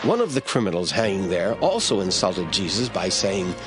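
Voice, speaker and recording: A man narrating scripture in a radio drama, reading in a steady, measured way. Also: sits low, over music.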